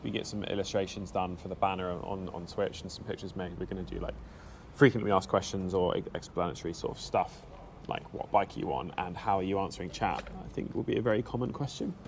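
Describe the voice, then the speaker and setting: A man's voice: low voice, fast, gravelly, few pauses, a little hiss or hum. A man in his thirties with a British accent, chatting casually and self-deprecatingly to viewers on a live stream, talking into a small helmet mic. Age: 30s. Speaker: motorcycle vlogger streaming live. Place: outdoors in central London, in a café stop. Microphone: small action-camera mic on a helmet.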